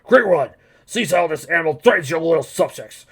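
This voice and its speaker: A man voicing a proud, indignant alien henchman, servile to his lord and loudly declamatory. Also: on the high side, lively intonation, moderate pace, breathy edge, voice pushed up, exaggerated voice. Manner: loud, declamatory.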